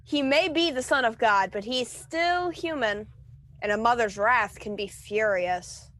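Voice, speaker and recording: An actress playing a watchful, challenging celestial onlooker with a dry wit in a radio play. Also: female voice, fairly high pitch, a little slow, fairly bright tone. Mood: wry.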